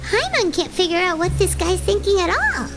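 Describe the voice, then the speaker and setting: A woman voicing a tiny, chatty, excitable fairy companion in a video game, with a squeaky childlike voice and music behind her. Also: normal speed, heavily acted. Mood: curious, puzzled. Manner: speaks of self in third person, expressive.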